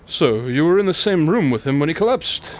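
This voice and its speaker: A man's voice: a little high, animated, a little fast, natural voice. Man acting confident, needling detective, background noise. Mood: probing. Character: confident, needling detective, sharp and wryly impatient. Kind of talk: radio drama dialogue.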